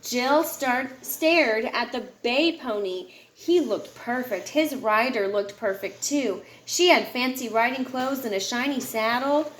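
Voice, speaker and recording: A woman's voice: a little high, measured pace, few pauses, reverberant. A woman reading a children's picture book aloud to a child, expressively. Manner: expressive, puts feeling into the dialogue. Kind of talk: read-aloud storytelling to a child.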